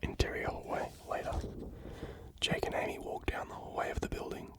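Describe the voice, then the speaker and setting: A reader whispering screenplay stage directions in a hushed, unvoiced voice. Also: fairly quick.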